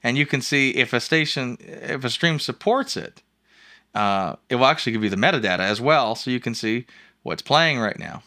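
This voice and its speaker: Bright-voiced man giving a casual software walkthrough. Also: mid-range voice, lively intonation, normal speed. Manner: informal, jokey, rambling. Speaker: host of a software review show.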